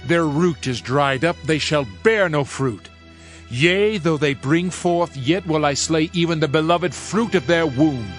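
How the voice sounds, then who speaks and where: A man's voice: on the high side, a little slow, natural voice. A man playing a solemn Old Testament prophet in a dramatized Bible reading, declaiming judgment in a grave, formal manner. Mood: wrathful. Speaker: scripture narrator. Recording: music under the voice. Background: music.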